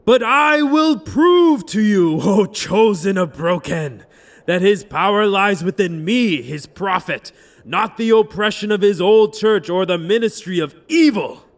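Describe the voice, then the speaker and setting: Self-proclaimed young prophet: high-pitched, zealous, declamatory orator for fantasy audio drama. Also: male voice, expressive, a little slow. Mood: fervent, defiant. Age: young adult.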